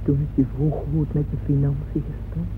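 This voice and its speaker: A woman's voice: low voice, little pitch movement, a little fast, noisy background, close to an everyday voice. An actress playing an ageing, devoted and wistful wealthy mother in a radio play, speaking emotively and intimately. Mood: tender, wistful. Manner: emotive, intimate, with hushed asides. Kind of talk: dramatic radio play monologue. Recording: muffled phone-like old radio recording, music behind.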